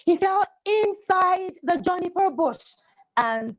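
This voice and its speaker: A woman's voice: very high voice, lively intonation, slow pace. A woman telling a children's story in a theatrical way, repeating words for effect and putting questions to her listeners.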